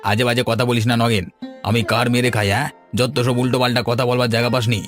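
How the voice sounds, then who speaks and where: A shifty cartoon villager, played by a man, with a mid-pitched voice. He blusters irritably and brushes people off, with faint music underneath. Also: flat delivery, quick pace, lightly acted. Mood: offended. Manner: blustering, dismissive. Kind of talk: cartoon dialogue acting.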